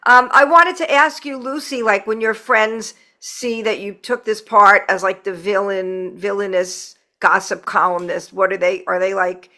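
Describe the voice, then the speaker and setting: A woman's voice: mid-range voice, fairly even intonation, measured pace, few pauses, bright, forceful tone. A woman with a Long Island accent hosting a casual, chatty interview. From Long Island, New York. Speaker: podcast host.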